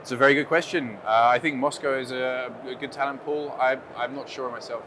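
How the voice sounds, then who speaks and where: A man's voice: mid-range voice, fairly even intonation, brisk. A British man whose first language is Dutch, answering interview questions conversationally and hesitantly, with many filler phrases. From United Kingdom. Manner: hesitant, with frequent "you know". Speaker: interview guest, game studio general manager. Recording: clear background noise, busy venue ambience.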